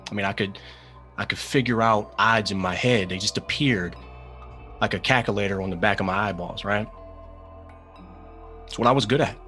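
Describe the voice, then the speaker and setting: An actor playing an earnest, weary recovering gambling addict in a table read, speaking in a halting, confessional way. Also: male voice, on the low side, pushed and bright, soft background music. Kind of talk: screenplay table read acting.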